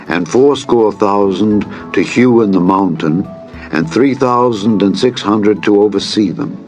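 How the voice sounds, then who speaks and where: Deep-voiced man reading scripture over music. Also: a little mellow. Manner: measured, formal, reverent delivery. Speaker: audiobook narrator. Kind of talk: solemn scripture reading, dramatized audiobook. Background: background music.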